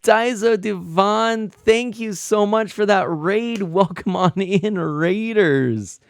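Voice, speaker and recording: A man's voice: high-pitched, takes it slowly, vocal fry, smooth, dry recording, soft background music. A man hosting his own live stream, chatting in an upbeat, casual way with frequent laughs and fillers. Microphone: streaming microphone.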